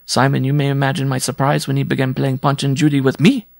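Guy doing a worn out skinny peasant woman, high pitched plaintive lament, bitter, storybook style. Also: completely flat delivery, fast talker, vocal fry, no room sound, clean studio sound, close to an everyday voice. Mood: rueful.

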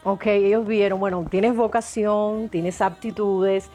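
A woman with a Cuban accent, a singer talking as a guest in an interview, warm and effusive, laughing often. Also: mid-range voice, steady tone, takes it slowly, faint music behind. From Cuba. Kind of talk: interview chat.

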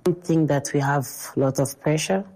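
A woman footballer giving calm, deliberate interview answers. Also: low-pitched, faint music behind, rough recording. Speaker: national team footballer.

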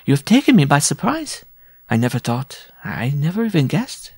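Storybook giantess, male performer in falsetto-high register: big French lady, coy, teasing, mock-polite. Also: expressive, a little fast, vocal fry, a little mellow, no echo, clean studio sound, full character voice. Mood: feigned surprise. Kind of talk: storybook character voice.

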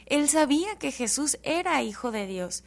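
High-voiced woman from El Paso, animated. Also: normal speed, creaky. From El Paso, Texas. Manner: animated, joking, warm testimony. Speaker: guest.

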